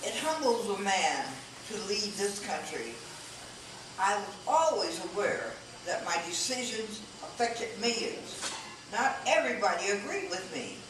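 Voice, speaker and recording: A woman playing a proud, plainspoken male soldier-president in a readers' theater stage reading. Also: mid-range voice. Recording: echoey room, distant mic, noisy.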